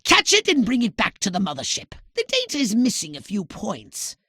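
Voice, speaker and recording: An actor voicing a fussy, haughty, scheming alien scientist commander for a video game, with a pedantic, sardonic, theatrical delivery. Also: male voice, high voice, huge pitch swings, normal speed, pushed and bright, slightly rough, dry recording, clean studio sound, heavily acted. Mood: sardonic, accusing.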